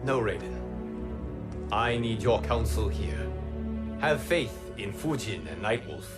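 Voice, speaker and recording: A calm young guardian of time. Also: male voice, fairly low pitch, slow pace. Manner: calm, earnest. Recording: loud music underneath.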